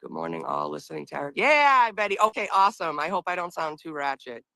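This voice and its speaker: A woman with a strong Boston accent hosts a casual livestream from her phone outdoors. Her deep voice still comes across bright and crisp, with a dry, close sound. She rambles cheerfully and laughs often. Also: big pitch swings, quick pace, no room sound. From Boston area. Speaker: livestream host.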